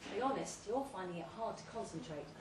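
A woman giving a theatrical, deliberate live reading of a comic short story, with dramatic pauses. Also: mid-range voice, expressive. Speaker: story reader at a live literary event. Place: pub event room, before an audience. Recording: roomy, distant live recording, faint noise.

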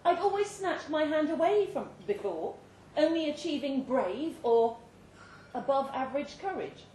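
A woman's voice: sits high, animated, moderate pace, mellow. British woman reading a story, roomy echo. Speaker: story reader. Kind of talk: literary short story read aloud at a live event. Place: indoor live reading event venue. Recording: roomy live venue with faint background noise.

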